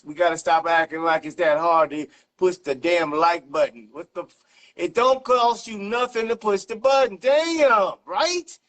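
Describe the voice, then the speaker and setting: A man's voice: high-pitched, unhurried, a bit bright. A man, hosting an interview as loose, slangy, profanity-sprinkled chat. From South Central Los Angeles, United States. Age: 50s. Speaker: interview host. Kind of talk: casual chat.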